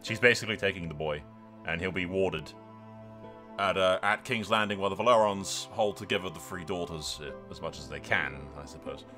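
A man's voice: low-pitched, brisk, bright, forceful tone. A man hosting a gaming video, giving casual, informal commentary that retells a roleplay story in a sweary, tongue-in-cheek way. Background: background music.

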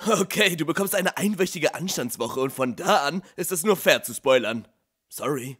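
Casting: a man as crass young sketch-comedy prankster, high and very animated, music underneath. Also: moderate pace, husky. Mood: conversational. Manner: crass, declamatory. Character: brash young male prankster blurting spoilers. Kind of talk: German comedy sketch fandub.

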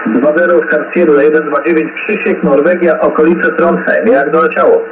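Tinny CB radio, heavy static, man, chatty and courteous operator, slightly high voice. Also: fairly even intonation, normal speed. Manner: friendly, chatty, with polite well-wishing. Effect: CB radio transmission. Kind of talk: casual radio contact exchange. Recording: narrow, very noisy shortwave radio reception. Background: loud radio static.

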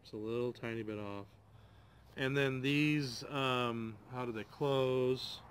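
A man's voice: mid-range voice, steady tone, slow, a little hiss or hum. A man in his forties reviewing tools at an outdoor table, thinking aloud with plenty of hesitations. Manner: rambling, hesitant, thinking aloud with many ums. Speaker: DIY channel host. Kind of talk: casual tool review.

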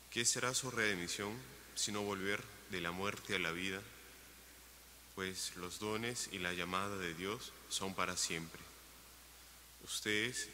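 Deep-voiced male lector, bright tone. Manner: steady, deliberate reading. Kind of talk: liturgical scripture reading. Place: large church. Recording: reverberant church broadcast with faint hiss.

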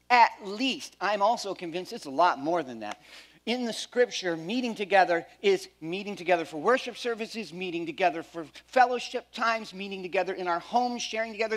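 High-voiced man preaching a sermon. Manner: animated, pacing the stage, shifts between loud emphasis and quiet asides. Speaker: pastor. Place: church stage.

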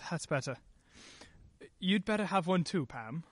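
Rattled touchy husband in a radio drama, played by a woman, swingy pitch, dry sound. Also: animated, a little slow, husky, no echo. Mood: shaken. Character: short-tempered, rattled husband, James. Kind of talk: old-time radio play acting.

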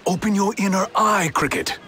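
An actor playing a stern old martial arts master in a cartoon video game, grave and portentous, with a raspy voice. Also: male voice, high voice, normal speed, heavy background noise, natural voice. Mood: stern, solemn.

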